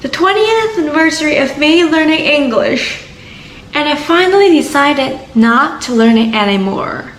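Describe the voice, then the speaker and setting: A woman's voice: sits high, animated, normal speed. A young woman blogger whose first language is Chinese, telling a scripted story in English with a wry, self-aware delivery. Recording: echoey room with background music.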